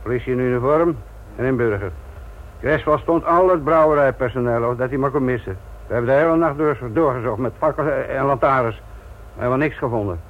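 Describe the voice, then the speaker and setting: An actor playing a gruff, sardonic senior police detective lecturing trainees in a radio play. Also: male voice, mid-range voice, a little fast, lightly acted. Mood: wry, narrating. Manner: sarcastic, authoritative, lecturing. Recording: muffled, noisy old radio play recording.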